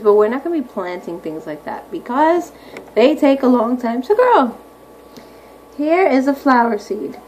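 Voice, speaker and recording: Higher-voiced woman teaching young children, noisy recording. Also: darkish tone. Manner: asks frequent questions, explains simply. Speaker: primary school teacher. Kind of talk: read-aloud lesson for young children. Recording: clearly audible background noise.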